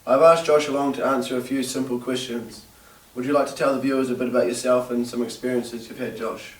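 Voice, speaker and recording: A man interviewing his friend, reading steady prepared questions. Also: medium pitch, a little fast. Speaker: interviewer, friend nominating the guest. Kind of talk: semi-scripted interview questions. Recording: distant roomy camera sound.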